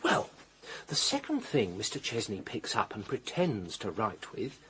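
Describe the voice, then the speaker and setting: Husky, fussy village chemist. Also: male voice, fairly low pitch, wildly swooping pitch, a little slow, a bit bright, full character voice. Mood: obliging. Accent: British. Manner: flustered, obliging, hesitant. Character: fussy, eager small-town male chemist, deferential and nervous. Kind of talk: detective radio drama acting.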